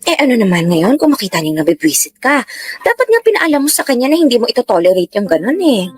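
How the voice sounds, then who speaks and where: A woman's voice: on the high side, big pitch swings, quick pace, slightly rough, faint music behind. A woman playing a teasing middle-aged mother with a Filipino accent, in colloquial radio drama style. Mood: wry, guarded. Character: middle-aged mother, teasing, secretly rivaling her daughter.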